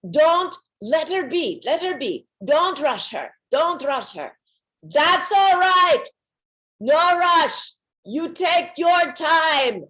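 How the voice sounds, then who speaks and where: A woman acts out a saintly male swami in a stage monologue. He speaks slowly and with emphasis, friendly, protective and reassuring. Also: high-pitched, very animated, a bit bright, pitched above the performer's own voice, full character voice, rough recording. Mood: loud, protective.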